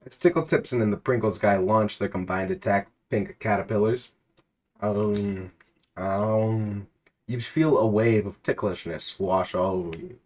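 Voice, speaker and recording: A man giving a mock-dramatic, deadpan reading of a text adventure. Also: lowish voice, a little slow. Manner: deadpan narration, prone to laughing fits.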